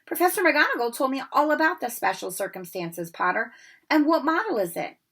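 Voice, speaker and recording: A woman reading aloud, voicing a tiny cheerful old male wizard professor with a squeaky voice. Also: sing-song, brisk, fairly bright tone, full character voice.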